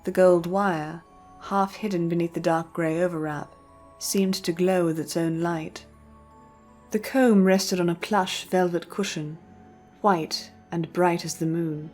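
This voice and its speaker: A woman's voice: lowish voice, darkish tone. A woman reading scripted storybook narration as the narrator. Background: music.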